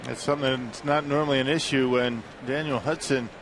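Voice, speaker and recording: Man, color commentator, mid-pitched voice, chatty analysis, noisy broadcast sound. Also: fairly even intonation, brisk.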